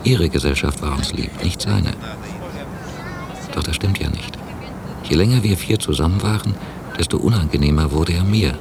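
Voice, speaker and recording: A low male voice plays a doubtful, brooding man in a radio play. He reflects in a measured way. The old cassette copy carries clear hiss and noise. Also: lively intonation. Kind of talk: radio drama inner monologue and dialogue.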